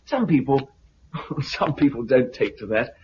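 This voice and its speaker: A man with a British accent playing a brisk, confident recruiter for a survivors' community in a 1950s radio drama. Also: mid-range voice, expressive, a little mellow, natural voice. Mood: persuasive. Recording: muffled, noisy 1950s radio recording.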